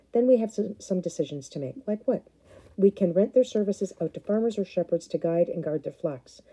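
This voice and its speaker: A woman voicing a calm, plainspoken male herder from the far north who talks steadily. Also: sits high, slightly rough.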